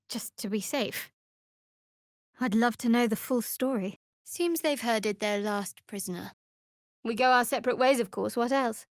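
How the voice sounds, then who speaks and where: An actress voicing a guarded, wry female cleric of a dark goddess in a fantasy video game, with a cool, measured, sardonic delivery. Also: on the high side, no echo, studio quality. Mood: wry, amused, then dry, musing.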